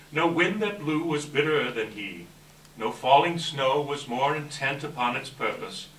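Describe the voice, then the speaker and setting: A man's voice: mid-range voice, a bit bright. A man giving a dramatic live reading of a classic story to a gathering. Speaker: event presenter and story reader. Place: library event room before an audience. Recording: echoey room, distant mic, faint noise.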